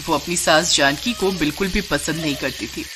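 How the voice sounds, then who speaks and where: A woman narrating a scripted moral story in an expressive storyteller's style. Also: low-pitched, moderate pace, background noise.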